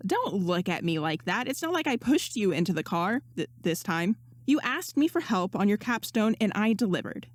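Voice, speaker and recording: A woman's voice: mid-range voice, sing-song, normal speed, hardly any pauses, no echo, studio quality. A woman voicing a confident, breezy young woman in a story, teasing and sure of herself. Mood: amused assurance. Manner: teasing, assured, sarcastic.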